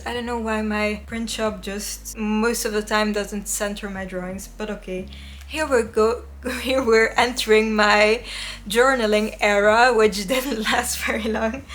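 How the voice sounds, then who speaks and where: A woman's voice: fairly high pitch, fairly bright tone, slight background noise. A young woman whose first language is Dutch, chatting casually and self-deprecatingly while flipping through her sketchbook. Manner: chatty, self-deprecating, frequent little laughs. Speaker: artist vlogger. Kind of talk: casual sketchbook tour voice-over.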